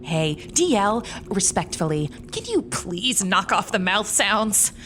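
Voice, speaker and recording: A woman's voice: medium pitch, big pitch swings, slow, fairly bright tone, a little hiss or hum. A woman playing an irreverent sorceress in an audio drama, with a breezy, sarcastic, casual manner. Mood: playful irreverence. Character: flippant, irreverent young sorceress employee.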